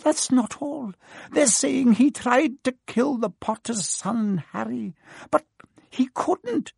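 A man's voice: extremely high pitch, animated, takes it slowly, gravelly, a little mellow, husky, no echo, clean studio sound, exaggerated voice. A man voicing a stern, prim older woman professor of witchcraft who talks in a clipped, impatient way. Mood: shaken. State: trembling with shock at the end. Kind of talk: audiobook character voice.

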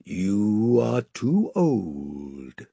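A man voicing a great, wise male lion who speaks gravely, slowly and tenderly. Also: on the low side, big pitch swings, dark tone, dry recording, studio quality, voice pushed down, exaggerated voice. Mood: solemn tender.

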